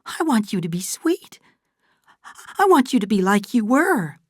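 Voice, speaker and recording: A woman's voice: medium pitch, sing-song, unhurried, no room sound, clean studio sound, voice pushed up. A woman voicing an emotional, doting older mother in a storybook, gushing and insistent as she talks. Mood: indignant, upset. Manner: gushing, exclamatory. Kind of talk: dramatised audiobook dialogue.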